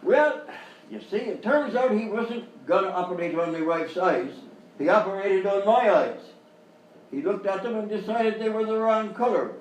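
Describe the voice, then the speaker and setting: An actor playing an excitable, dim-witted police chief in a live comedy sketch, blustering and befuddled. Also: male voice, high-pitched, normal speed. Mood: sheepish, matter-of-fact. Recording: echoey, distant live stage recording, faint noise.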